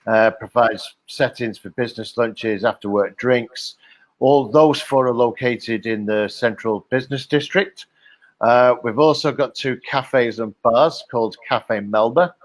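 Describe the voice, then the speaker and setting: A man's voice: mid-range voice, a little slow, no room sound. A middle-aged man from Liverpool with a Liverpool accent, guest on a casual interview podcast, chatty and jokey, talking with plenty of "uh" and "um". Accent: Liverpool English. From England (Liverpool). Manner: chatty, jokey storyteller with frequent "uh" and "um". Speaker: guest, hospitality company director. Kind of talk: casual interview over video call.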